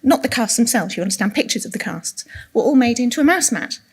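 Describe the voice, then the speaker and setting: A woman who is a clinical nurse specialist, giving a soft-spoken, engaging presentation to an audience. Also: a little high, lively intonation, fast talker, husky. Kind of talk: conference presentation. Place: conference room.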